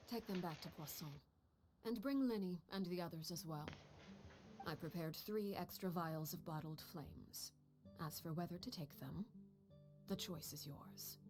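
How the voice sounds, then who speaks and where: A woman's voice: on the low side, lightly acted. A woman voicing a cold, commanding Father figure. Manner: calm, measured, grave delivery with frequent hushed whispers. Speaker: stern head of an orphan household. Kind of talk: game cutscene voice acting. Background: game music score.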